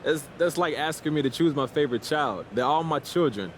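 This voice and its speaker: A man's voice: on the high side, lively intonation, brisk, natural voice. Man playing a cocky young American basketball star, noisy background. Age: young adult. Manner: boastful, self-assured, casual. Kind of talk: post-game interview acting in a sports game.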